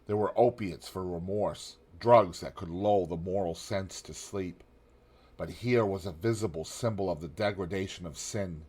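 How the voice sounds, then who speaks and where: A man with a somewhat low voice narrates a classic novel in a measured, steady reading. He lets his voice sink low and soft on grave passages. The delivery is scripted, unhurried audiobook narration. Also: husky.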